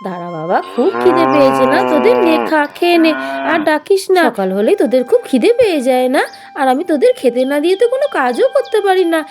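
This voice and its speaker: A woman's voice: high-pitched, very animated, quick pace. A woman voicing a busy young village housewife in a children's doll cartoon, chattering to herself in a homely way about chores. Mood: fond scolding.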